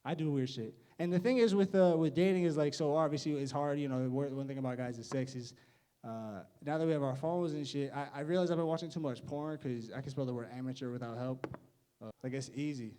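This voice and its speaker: A man's voice: mid-range voice, quick pace, dark tone, clear, resonant tone. A young man doing a stand-up set, awkward and self-mocking, laughing at his own jokes. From United States. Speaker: stand-up comedian.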